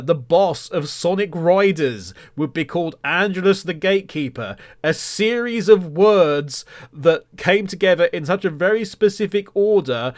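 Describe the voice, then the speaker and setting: A man hosting a podcast in casual solo talk, with a rambling, self-deprecating and mock-indignant humour. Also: high-pitched. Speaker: podcast host.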